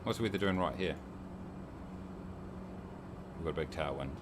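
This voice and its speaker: Kiwi bloke with a real low voice, chatty and jokey, reading out chat, bit of steady hum behind him. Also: male voice, moderate pace. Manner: chatty and joking, reads viewer comments aloud. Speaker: streamer. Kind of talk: casual livestream chat while flying a sim.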